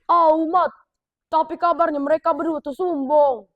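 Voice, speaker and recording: Girl voicing cocky young village guys, South Sumatran Malay accent, high bright voice, loud quick banter. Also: fairly even intonation, muffled recording, natural voice. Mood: boastful. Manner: brash, boisterous, fast banter. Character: two bold young village men, cocky suitors.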